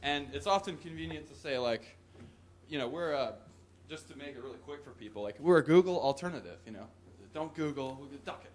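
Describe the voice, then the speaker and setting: A man's voice: fairly high pitch, a little fast, darkish tone, a little hiss or hum. A young man giving a loose, joking talk in a conference hall, with whoops and laughs, into a podium microphone. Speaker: conference presenter. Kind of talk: casual live tech talk.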